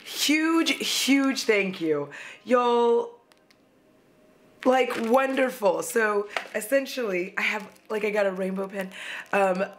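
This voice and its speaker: Chatty hoarse woman vlogging. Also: medium pitch, slow, slight background noise. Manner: chatty, rambling, self-deprecating, laughs often. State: losing her voice. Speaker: beauty vlogger. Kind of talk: casual chat while doing makeup. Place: at home, indoors.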